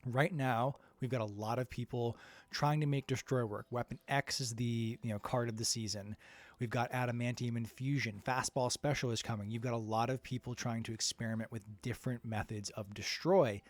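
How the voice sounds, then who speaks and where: A man's voice: mid-range voice, fairly even intonation, normal speed, dry recording. A man with a nasal voice, stuffy from a cold, giving an upbeat, chatty card game strategy guide with plenty of fillers. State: under the weather, stuffy. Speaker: card game content creator.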